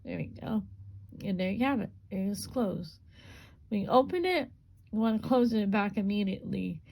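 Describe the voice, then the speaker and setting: A woman with a gravelly, hoarse voice demonstrates a small craft step by step. Her talk is relaxed and unscripted, with plenty of repeats as she works. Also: medium pitch, normal speed. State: voice going hoarse. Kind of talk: casual hands-on craft demonstration.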